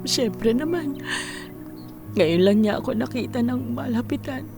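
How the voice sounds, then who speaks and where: Loving, weary high-voiced Filipino mother. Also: measured pace, music in the background. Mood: tender. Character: loving, weary middle-aged mother back from abroad. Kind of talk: Filipino radio drama acting.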